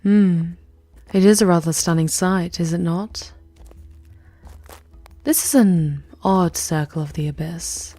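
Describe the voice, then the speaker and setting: Female actor as world-weary demon queen guide, ASMR roleplay. Dark, velvety low tone, unhurried formal delivery, breathy near-whispers. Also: dry recording, soft background music. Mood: calm, reverent. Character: regal, world-weary demon queen, once human, guiding a wanderer. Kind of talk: slow, intimate ASMR roleplay monologue.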